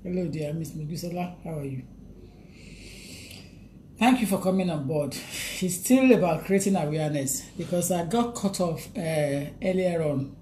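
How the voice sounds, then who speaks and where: Nigerian lady on a livestream, low voice, chatty and repetitive, bit of background hiss. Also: lively intonation, normal speed, warm tone. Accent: Nigerian English. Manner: chatty, repeats phrases, reads out viewer names, laughs often. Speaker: livestream host. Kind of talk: live stream talk, greeting viewers.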